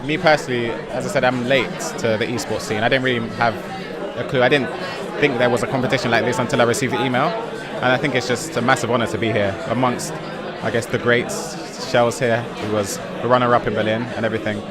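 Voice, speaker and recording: A man's voice: mid-range voice, fairly even intonation, quick pace. A nineteen-year-old man from London, an esports player giving earnest, modest interview answers. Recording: loud crowd behind. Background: loud crowd.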